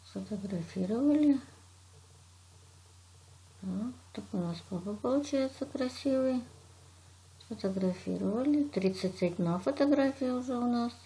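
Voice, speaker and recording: A woman's voice: a little high, lively intonation, a little slow, long pauses, mellow. A woman teacher from Russia walks a child through a drawing lesson step by step, patiently praising and narrating each action.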